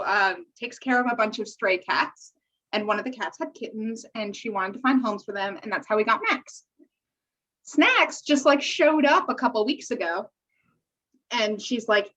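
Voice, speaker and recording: A woman's voice: on the high side, fairly bright tone. A woman podcast co-host chatting casually on a remote call, in a bubbly and chatty way. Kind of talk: casual chat. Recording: dull, lo-fi call audio.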